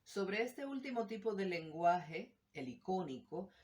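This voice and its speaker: A woman giving a clear, measured lesson that dwells on its examples. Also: fairly low pitch, big pitch swings, a little mellow. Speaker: language teacher. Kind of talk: explanatory lesson.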